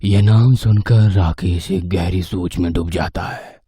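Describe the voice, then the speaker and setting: A man reading a scripted horror story as its narrator, with a husky voice. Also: low voice, steady tone, a little slow, mellow, no room sound, clean studio sound.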